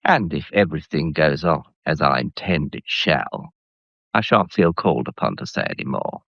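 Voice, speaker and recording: An actor playing an insolent, scheming English blackmailer in a mystery radio drama, talking with smug, deliberate menace. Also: male voice, rumbling low, expressive, creaky, fairly bright tone, dry recording, exaggerated voice. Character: insolent, scheming male gallery employee turned blackmailer. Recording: dull, muffled radio drama recording.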